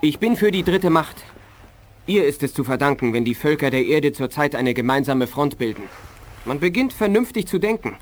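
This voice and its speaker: An actor playing an earnest, formal intelligence captain in a 1980s science-fiction radio play. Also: male voice, fairly high pitch, expressive, moderate pace, lightly acted. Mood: earnest, insistent. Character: earnest male intelligence captain, secretly sympathetic defector.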